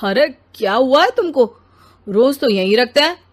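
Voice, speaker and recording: A woman voicing a grumpy, demanding husband and father in an animated family story, complaining and dismissive. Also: high-pitched, normal speed.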